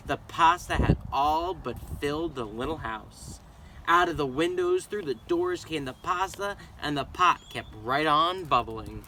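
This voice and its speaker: A man reading a children's story aloud in an expressive, dramatic way. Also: fairly high pitch, noisy background. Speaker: storybook reader. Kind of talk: children's read-aloud narration.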